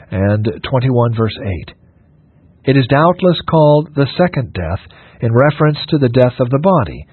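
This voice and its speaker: Phone-like recording of a husky man reading solemnly. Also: mid-range voice, no echo. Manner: measured, solemn, formal delivery. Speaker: reader of a historical sermon. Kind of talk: scripted sermon reading. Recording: narrow phone-like radio sound, dry.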